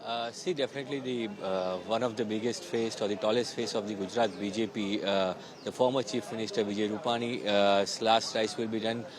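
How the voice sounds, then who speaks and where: A male field reporter with an Indian accent delivers a live report from outdoors. His pitch stays fairly flat, and he hesitates often, restarting his phrases. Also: brisk, hardly any pauses, a crowd in the background. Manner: hesitant, repetitive, heavy on false starts. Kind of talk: live on-the-spot news report.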